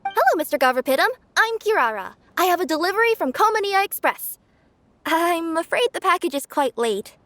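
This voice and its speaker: A high, lively voice from a cat-spirit delivery girl with tails. She talks in a polite, brisk, friendly way, her pitch lifting and dipping a lot. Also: no room sound, heavily acted. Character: cheerful young cat-spirit courier woman with tails. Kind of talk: game voice acting.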